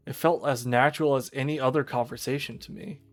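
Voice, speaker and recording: A man's voice: mid-range voice, fairly even intonation, a little fast, lightly acted. A man reads as a young coffee shop worker in a visual novel, a woman who sounds baffled and hard on herself. Music plays behind the lines. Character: puzzled, self-deprecating young woman working in a coffee shop.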